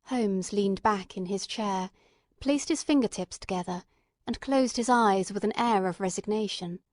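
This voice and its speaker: A woman narrates classic fiction in a scripted, literary style. Her voice sits at an average female pitch, and the sound is close and dry. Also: moderate pace, darkish tone, dry recording. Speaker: audiobook narrator.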